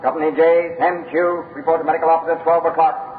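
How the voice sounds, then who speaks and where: An actor playing a commanding army officer, barking clipped military orders in a radio drama. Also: male voice, fairly high pitch, flat delivery, fast, slight background noise, lightly acted. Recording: tinny, echoey old radio, crowd behind.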